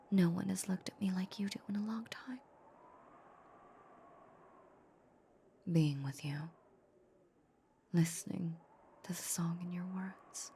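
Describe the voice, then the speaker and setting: Woman playing a slow, whispering, possessive vampire countess. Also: on the low side, slight background noise. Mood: wistful, lonely. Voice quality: soft, breathy, largely whispered. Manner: slow, coaxing, intimate murmur. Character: possessive, tender vampire countess, doting and lonely. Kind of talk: intimate whispered ASMR roleplay audio drama.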